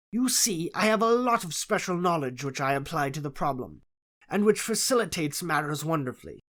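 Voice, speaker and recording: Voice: medium pitch, fairly bright tone, voice pushed up. A narrator playing a conceited, analytical male detective in an audiobook, lecturing with self-assured disdain. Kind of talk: audiobook character voice in classic detective story.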